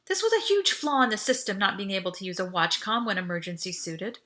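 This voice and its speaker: A woman reading a first-person story aloud as an expressive audiobook narrator. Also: medium pitch, a little fast. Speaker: audiobook reader.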